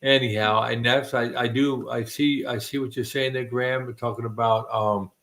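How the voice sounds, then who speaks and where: A man's voice: medium pitch, steady tone, normal speed, fairly bright tone. A middle-aged man hosting a casual late-night chat, rambling with many restarts and self-deprecating jokes. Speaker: host.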